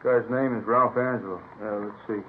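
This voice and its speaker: An American actor playing a laconic police investigator in a 1950s radio drama, reporting in clipped fragments. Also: male voice, lowish voice, fairly even intonation, normal speed, natural voice. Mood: matter-of-fact. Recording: narrow, noisy old radio broadcast.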